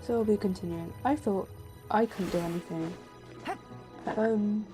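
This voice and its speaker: A woman's voice: mid-range voice, measured pace, music in the background. A woman livestreaming a video game, chatting casually to viewers.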